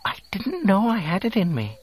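A man's voice: sits high, huge pitch swings, normal speed, husky, no room sound, pitched above the performer's own voice, full character voice. A man voicing a fussy, anxious golden protocol droid in an audiobook story, prim and fretful in manner. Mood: bewildered.

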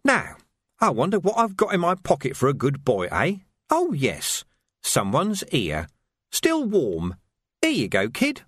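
A man's voice: fairly high pitch, wildly swooping pitch, a little slow, fairly bright tone, slightly rough, dry recording, studio quality, voice pushed up, exaggerated voice. A man doing a sneering manic villain. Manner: sneering, bursts into rage.

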